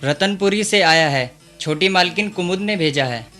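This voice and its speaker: High-pitched, deferential servant. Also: male voice, expressive, moderate pace, pushed and bright, soft background music. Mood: eager, deferential. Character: deferential household servant bringing word to young master. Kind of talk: radio drama acting.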